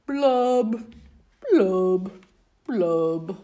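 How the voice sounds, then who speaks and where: A woman voicing a gloomy male fish in a storybook, chanting his mopey refrain and booming out loud blubs. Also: expressive, very slow. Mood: glum, sing-song.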